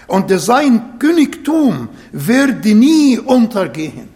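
Slow high-voiced man preaching in a hall. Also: big pitch swings, darkish tone. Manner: non-native German with grammar slips; slow, emphatic scripture reading. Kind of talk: sermon.

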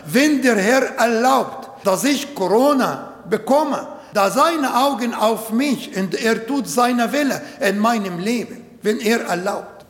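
A man whose first language is Arabic, preaching a sermon slowly and deliberately in German that is not his native tongue. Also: high-pitched. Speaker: pastor. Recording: echoey hall.

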